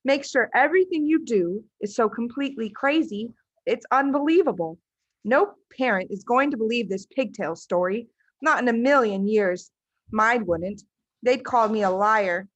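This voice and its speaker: A woman's voice: a little high, animated, normal speed, no room sound, lo-fi sound. A woman reading a storybook, voicing a clever, matter-of-fact little schoolgirl who explains things firmly and knowingly. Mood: sure, knowing.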